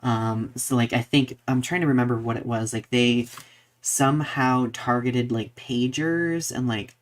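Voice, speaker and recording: A man's voice: medium pitch, fairly even intonation, measured pace. A man with a mid-range voice co-hosts a casual chat podcast. He talks expressively, leaning on words for emphasis and often circling back to find his phrasing. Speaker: podcast co-host.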